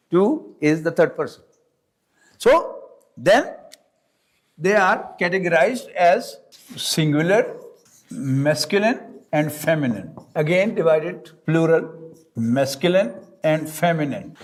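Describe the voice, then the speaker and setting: Man teaching a grammar class in english that isn't his first language, talks slow and high, repeats stuff, keeps asking if you get it. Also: sing-song. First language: not English; Urdu is his second language. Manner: slow, deliberate, repeats points and checks understanding. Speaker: Arabic teacher. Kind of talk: classroom lecture.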